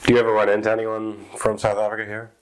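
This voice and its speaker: A man hosting a casual interview, asking halting, stumbling questions and laughing along. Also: on the low side, monotone, a little hiss or hum, poor audio. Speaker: podcast host.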